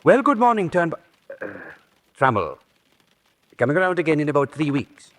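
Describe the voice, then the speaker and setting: A man playing a brisk, officious county road surveyor in a radio drama, formal and businesslike. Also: on the high side, very animated, fairly bright tone, a little hiss or hum.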